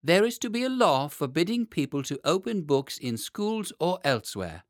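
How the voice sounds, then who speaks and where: A man's voice: on the high side, very animated, normal speed, no room sound, clean studio sound, pitched above the performer's own voice. A man voicing a naughty but apologetic little boy king in a children's storybook. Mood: earnest.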